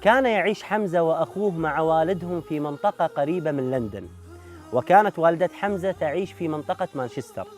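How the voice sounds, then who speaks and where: Very fast-talking male host narrator. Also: a little high, expressive, darkish tone, soft background music. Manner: rapid, earnest narrating. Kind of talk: documentary narration.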